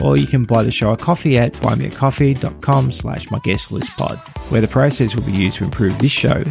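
Gravelly male podcast reviewer over music. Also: mid-range voice, a little mellow.

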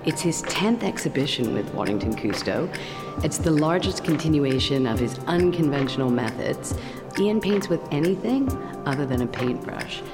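A hoarse, croaky woman hosts an interview with polished, friendly phrasing. Her voice carries a long hall reverb, and music plays clearly behind her. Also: deep voice, animated, normal speed. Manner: polished introductions, friendly questions. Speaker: interviewer host. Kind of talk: podcast interview.

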